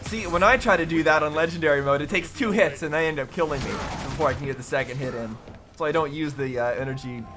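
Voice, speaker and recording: A man hosting a reaction video, commenting casually and haltingly, often wondering aloud in questions. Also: fairly high pitch, background noise. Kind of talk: casual, off-the-cuff commentary on game cutscenes.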